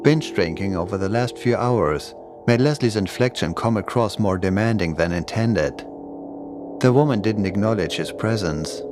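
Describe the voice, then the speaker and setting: Low-voiced man narrating horror over music. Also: lively intonation, moderate pace. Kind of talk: scripted horror audiobook narration. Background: creepy music and sound effects.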